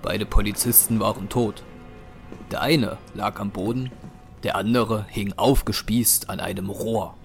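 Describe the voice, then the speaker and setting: A man with a fairly low voice narrates an audio drama. His delivery is measured and serious, with music clearly playing underneath. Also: steady tone.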